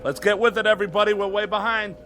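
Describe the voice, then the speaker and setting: An actor playing a harried, impatient film director calling out orders to the crew on set. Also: male voice, high-pitched, level tone, lightly acted. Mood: brisk. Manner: brisk, commanding.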